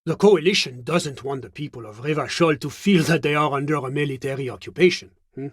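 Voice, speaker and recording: A suave French government functionary from a video game. His voice sits a little high for a male and is formal, measured and courteous. He sounds diplomatic and evasive, in a very dry recording with no room sound. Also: husky, dry recording. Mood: smooth, evasive. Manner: formal, polite, measured, officious. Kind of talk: scripted game character dialogue.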